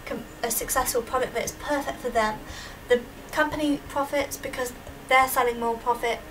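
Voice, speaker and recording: Young female student presenter, high voice, earnest and explanatory, recorded at a distance from the mic. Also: steady tone, moderate pace, a bit bright. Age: young adult. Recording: distant microphone with audible background noise.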